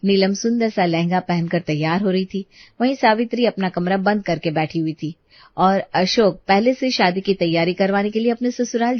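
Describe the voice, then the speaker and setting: A woman's voice: mid-range voice, no room sound, lo-fi sound. A woman storyteller reading a scripted moral tale as narration.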